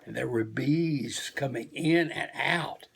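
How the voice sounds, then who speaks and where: A man reading a children's storybook aloud in an expressive, homely storytelling manner. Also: mid-range voice, takes it slowly, gravelly, slightly rough. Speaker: storybook reader.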